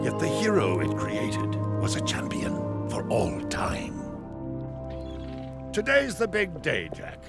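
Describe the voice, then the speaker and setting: Elderly game sage, gravelly, portentous, stern, loud music behind. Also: male voice, medium pitch, heavily acted. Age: older. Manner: solemn, portentous, scolding. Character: old male sage, solemn, stern village mentor. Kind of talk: video game narration and dialogue.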